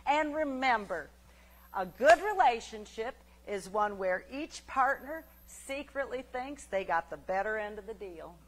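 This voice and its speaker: An actress playing a folksy, chatty cooking-show host who rambles homespun and cheerful. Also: female voice, a little high, expressive, unhurried, low-quality recording. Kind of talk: comic cooking segment.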